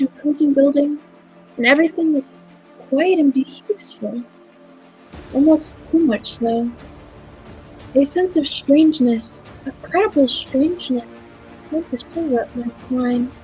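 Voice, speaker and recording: A very high-voiced young lady adventurer acted by a woman, anxious yet brave. She speaks and narrates in dramatic, literary 1940s radio drama style, as companion to an eccentric time traveller. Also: warm tone, rough recording.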